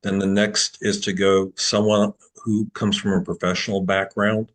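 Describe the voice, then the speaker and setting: A man answering applicants' questions at an online open house, relaxed and explanatory, over a video call. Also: on the low side, moderate pace. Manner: relaxed, explanatory, with repeats and restarts. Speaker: program admissions advisor.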